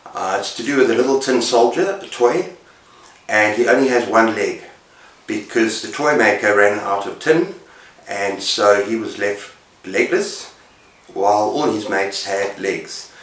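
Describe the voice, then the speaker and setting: A man casually retelling stories as a book reviewer, with dramatic pauses and an emphatic delivery. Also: lowish voice, a bit bright. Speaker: book reviewer on a video channel. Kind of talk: casual story retelling to camera. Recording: roomy echo, distant microphone.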